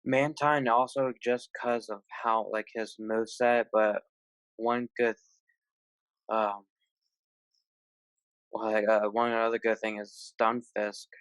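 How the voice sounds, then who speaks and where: A man from Colorado chatting casually as a gaming panel guest, in a halting, unpolished way. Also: fairly low pitch, flat delivery, a bit bright, smooth, dry recording, rough recording. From Colorado, United States. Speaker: tournament player, panel guest. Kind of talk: casual chat.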